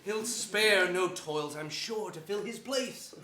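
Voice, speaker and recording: A man acts a solemn lord in a Shakespearean play. His delivery is slow and declamatory, pitched high for a man, and rings around an echoing stage. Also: sharp tone, full character voice. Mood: solemn, grieved. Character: grave nobleman bearing news of death. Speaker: stage actor. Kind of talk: theatrical Shakespearean staged reading. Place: indoor theatre stage. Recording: echoey theatre stage.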